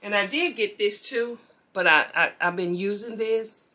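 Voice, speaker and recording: Chatty woman vlogger, bright voice. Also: mid-range voice, normal speed. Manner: chatty, relaxed, talks to viewers as y'all. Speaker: beauty vlogger. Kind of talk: casual chat while tidying. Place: bedroom beauty room indoors. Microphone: camera mic, moving around.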